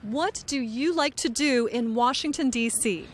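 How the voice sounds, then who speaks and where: A middle-aged woman reporter interviewing tourists outdoors with a handheld mic, speaking slowly and clearly for learners. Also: fairly high pitch, a little hiss or hum. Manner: upbeat, enunciates carefully. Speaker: reporter host of an English lesson. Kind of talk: slow, clear scripted interviewing. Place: outdoors on city streets. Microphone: handheld microphone.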